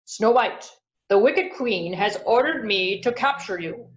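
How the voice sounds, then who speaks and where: A woman's voice: on the high side, lively intonation, measured pace. A woman voicing a kind, reluctant royal soldier in an earnest, obliging tone, on a dull lo-fi recording.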